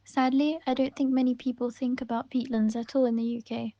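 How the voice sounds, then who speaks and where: A woman reads first-person interview answers in a gentle, unhurried voice. Her tone is soft and mellow, and her pitch sits fairly high but barely moves. The recording sounds dull and lo-fi. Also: level tone, dry recording.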